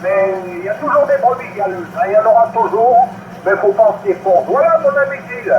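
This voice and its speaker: A man chatting on CB radio in a friendly, measured way, ending his phrases with "hein" and "voilà". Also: high-pitched, very animated. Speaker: CB radio operator. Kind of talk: casual radio chat. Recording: roomy, muffled radio transmission with loud noise.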